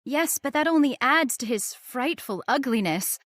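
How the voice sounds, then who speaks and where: A woman playing an excitable, easily frightened guest. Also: high-pitched, normal speed, no echo, studio quality. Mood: curious. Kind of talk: old-time radio drama acting.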